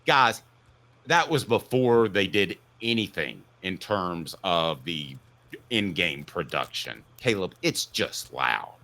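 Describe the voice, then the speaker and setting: A man in his fifties with a fairly low, bright voice hosts sports talk. His pitch swings widely as he rolls through opinions and casual stories, jokey and mock-indignant. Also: animated, slow pace. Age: 50s. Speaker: sports talk show host.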